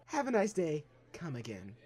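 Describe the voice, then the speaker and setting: A man's voice: fairly high pitch, wildly swooping pitch, normal speed, darkish tone, husky, full character voice. A man voicing a stern, disapproving young woman working as a barista, speaking curtly and scolding. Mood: cold. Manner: curt, scolding.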